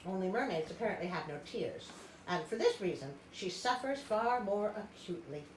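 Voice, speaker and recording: A woman's voice: medium pitch, animated, normal speed. A woman reading a story aloud as its host, dramatic and playful, with chatty asides. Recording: roomy echo, clear background noise.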